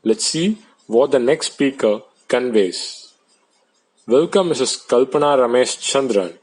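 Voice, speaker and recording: A man, reading out translated debate speeches in a plain, measured way. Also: mid-range voice, expressive, a little mellow, breathy edge, low-quality recording.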